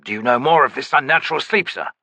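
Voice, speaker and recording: A disciplined knight commander, voiced by a male narrator speaks in a raised, firm, courteous tone with crisp, formal phrasing. His voice sits noticeably higher and louder than the narration, and the recording is dry and close. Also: lively intonation, quick pace, no echo.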